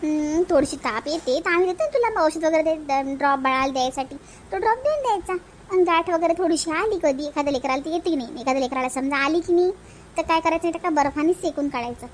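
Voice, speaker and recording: Woman acting bossy village woman, very high voice. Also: animated, fast, a little hiss or hum. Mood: reassuring, firm. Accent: Vidarbha Marathi. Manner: chiding, reassuring, emphatic. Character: bossy, caring village women of a cartoon family. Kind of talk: comedy cartoon family dialogue.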